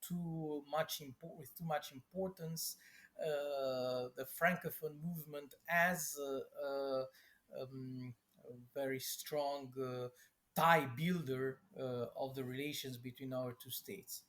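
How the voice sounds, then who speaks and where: Slow, halting academic man. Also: medium pitch, steady tone, a bit bright. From Romania. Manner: halting and careful, many hesitations. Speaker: professor of European studies, guest. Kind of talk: academic interview answers.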